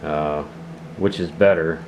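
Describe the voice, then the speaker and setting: A man's voice: a bass voice, steady tone, slow pace, background noise. A man giving a casual, unscripted product demonstration as a hobbyist reviewer, talking in a hesitant, rambling way.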